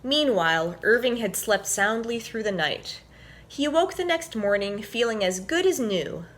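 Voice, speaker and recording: A woman hosting a story time for children, reading and explaining things simply with expressive, deliberate phrasing. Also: on the high side. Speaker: host and story reader, orchestra violinist.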